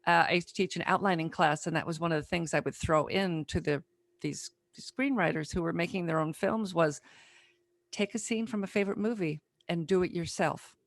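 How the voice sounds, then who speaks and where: Lower-voiced woman, close and dry. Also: brisk, no echo. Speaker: interview host, writer. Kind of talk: casual chat with practical advice.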